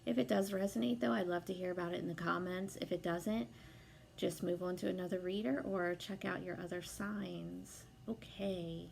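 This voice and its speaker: A young woman with a hoarse voice from being sick, chatting casually as she gives a tarot reading, with lots of fillers and some swearing. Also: lowish voice, steady tone, moderate pace, a little mellow, slight background noise. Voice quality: hoarse, gravelly. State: sick, losing her voice. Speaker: tarot reader.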